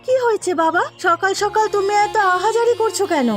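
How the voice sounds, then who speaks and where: A woman playing a gentle, anxious young daughter of a poor village family, plaintive and pleading, in a melodramatic cartoon. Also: very high voice, a little fast, faint music behind. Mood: worried.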